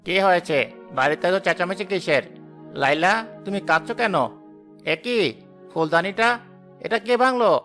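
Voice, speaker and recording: Man as a hot-headed higher-voiced younger brother. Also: normal speed, pauses often, a bit bright, faint music behind. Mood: alarmed. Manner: aggressive, indignant. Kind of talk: melodramatic cartoon story dialogue.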